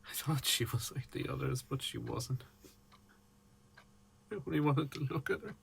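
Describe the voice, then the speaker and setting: Man acting gravelly, raspy, haunted murder suspect. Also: medium pitch, full character voice. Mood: frightened, pleading. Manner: pleading, broken, halting. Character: haunted, terrified male murder suspect in custody. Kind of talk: radio play acting.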